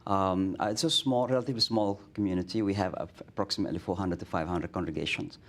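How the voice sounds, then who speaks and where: A man's voice: low voice, steady tone, quick pace. A middle-aged man with an Indonesian accent, a guest imam telling stories in a studio interview, earnest, with many restarts and fillers.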